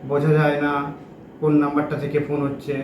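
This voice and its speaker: A man's voice: fairly high pitch, completely flat delivery, fast. A young man making an insistent, repetitive phone call in casual confrontational conversation. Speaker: prank caller. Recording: echoey room with background noise.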